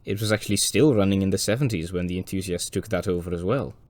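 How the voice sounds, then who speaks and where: Hoarse male narrator, deep-pitched, for a dry-witted documentary voice-over. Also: fast, darkish tone. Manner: dry, wry asides. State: hoarse from a cold. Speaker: railway video presenter.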